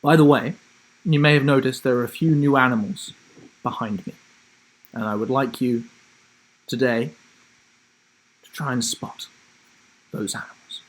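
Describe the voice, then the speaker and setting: Slightly husky man presenting to children. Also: mid-range voice, fairly even intonation, unhurried, long pauses, poor audio. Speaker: author reading own story on live broadcast. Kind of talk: friendly instructional chat and storybook reading for children.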